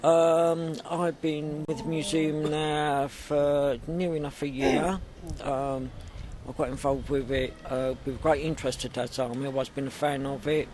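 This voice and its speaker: A man's voice: a little high, flat delivery, unhurried, few pauses, noisy background. Hesitant man with many ums. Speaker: re-enactor.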